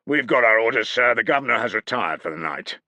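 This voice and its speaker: A man's voice: a little high, moderate pace, pushed and bright, husky, dry recording, muffled recording, pitched above the performer's own voice, heavily acted. A man voicing a huge, smug Roman Praetorian guard in an audiobook, contemptuous in tone.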